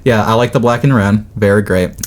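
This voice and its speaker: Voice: medium pitch, a little fast. Casual, informal podcast host chatting. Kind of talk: casual chat.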